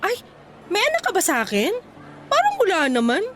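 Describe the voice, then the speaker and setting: A woman's voice: very high-pitched, huge pitch swings, fairly quick, a little hiss or hum, heavily acted. An actress playing a sassy, jealous young single mother with a Filipino accent in a radio drama. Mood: sassy, taunting. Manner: taunting banter with sarcastic edge.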